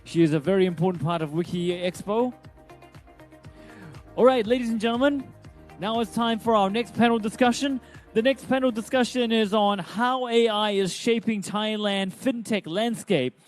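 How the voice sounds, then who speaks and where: A man's voice: sits high, faint music behind. A man working as emcee at a conference, making formal announcements and introducing panelists. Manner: formal, drawn-out name announcing. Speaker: event emcee. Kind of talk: event announcements. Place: conference hall.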